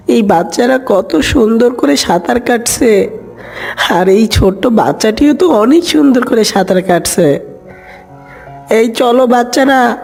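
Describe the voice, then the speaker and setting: A motherly cartoon duck, played by a woman, fussing over the brood and quick to judge. The voice sits fairly high, with music playing underneath. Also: creaky, a little mellow. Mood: happy. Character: mother duck, fond of pretty chicks, dismissive. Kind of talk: animated fairy-tale dialogue.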